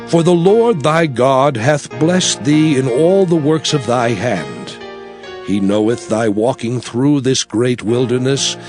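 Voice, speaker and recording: A man's voice: mid-range voice, a little mellow, no room sound, clean studio sound. An actor playing a solemn, commanding prophet who recounts his people's journey in emphatic, declamatory scripture drama. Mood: stern instruction. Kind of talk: dramatized scripture narration, archaic English.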